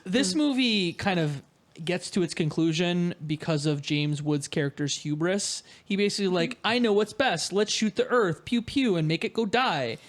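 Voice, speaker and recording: Wry man with a higher voice. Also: animated, normal speed. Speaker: podcast host. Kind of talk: casual film discussion.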